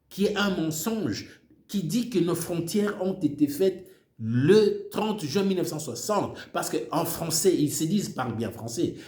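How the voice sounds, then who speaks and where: A man's voice: sits high, a little mellow, echoey room. An older man with a Congolese French accent, whose first language is Swahili, giving an impassioned political monologue with emphatic repetitions. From Democratic Republic of the Congo. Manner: emphatic, repeats words while searching for them. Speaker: political speaker.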